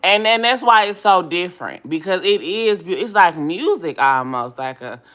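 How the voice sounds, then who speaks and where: Low scratchy-voiced woman hosting a livestream. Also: expressive, normal speed, a bit bright. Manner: reads viewer comments aloud and answers them, chatty and upbeat. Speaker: livestream host. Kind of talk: casual livestream chat with viewers.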